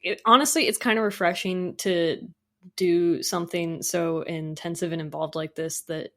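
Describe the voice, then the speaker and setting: A woman's voice: lowish voice, steady tone, moderate pace, fairly bright tone. A young adult woman speaking as a podcast guest in informal, casual interview chat, peppered with conversational filler words. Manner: informal, frequent "like" and "you know".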